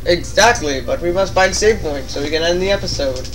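A man's voice: a little high, a little fast. A man playing a video game with casual, sarcastic commentary. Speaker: let's play player. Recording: distant mic, game music behind. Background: video game music.

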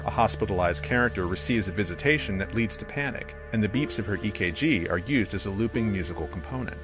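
A man with a fairly low voice presents a scripted, academic video essay. He speaks in a measured and articulate way, explaining as he goes. Music plays faintly behind his narration. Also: a bit bright. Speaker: presenter, digital comics creator. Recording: music under the voice.

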